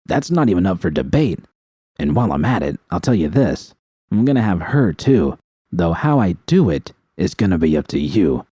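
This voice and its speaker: A man's voice: low voice, big pitch swings, moderate pace, warm tone, breathy edge, no echo, exaggerated voice. A man voicing a menacing male gangster in an audiobook, gloating and taunting as he drawls out threats. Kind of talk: dramatic audiobook character reading.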